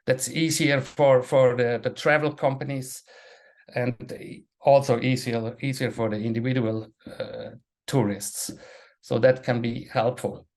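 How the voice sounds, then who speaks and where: A man, a panel guest speaking English as an in a halting way, restarting and repeating words. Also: medium pitch, flat delivery, creaky, darkish tone. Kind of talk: casual webinar talk.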